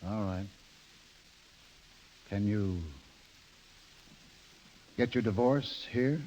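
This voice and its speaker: Folksy, weary American husband in middle age, halting delivery, 1930s radio acting, muffled vintage sound. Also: male voice, lowish voice, a little mellow, slight background noise. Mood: resigned. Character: plain-spoken middle-aged American husband, weary but dogged.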